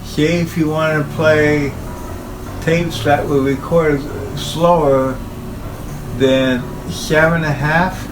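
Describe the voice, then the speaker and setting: A man giving an informal, halting talk about equipment as a hobbyist presenter, speaking in short phrases. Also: fairly high pitch, fairly even intonation, background noise. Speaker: hobbyist presenter showing his tape machines.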